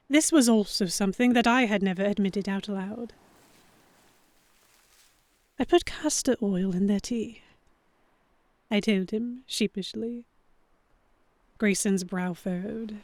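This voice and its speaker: A woman playing a young, flustered heroine who narrates a pirate romance in the first person, with expressive dramatic delivery. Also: medium pitch, no room sound.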